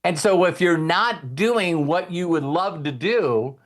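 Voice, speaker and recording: A man's voice: on the high side, lively intonation, slow pace. A man hosting a casual motivational talk to a group, speaking informally and checking in with his listeners.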